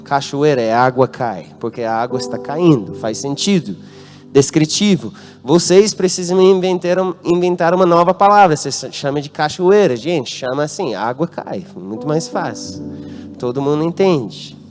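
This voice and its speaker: An American man preaches with a voice that sits a touch high for a man. He asks the congregation to call out answers and speaks with an American accent. Soft instrumental music plays faintly underneath. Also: normal speed. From United States. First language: English. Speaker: guest pastor. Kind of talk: sermon. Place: church hall.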